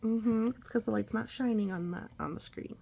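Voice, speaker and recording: Gravelly-voiced mom reading aloud. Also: female voice, on the high side, moderate pace, dark tone, a little hiss or hum. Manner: asks and echoes a small child's questions. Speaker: mother reading to her child. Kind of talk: picture-book read-aloud with chat to a child. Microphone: phone recording.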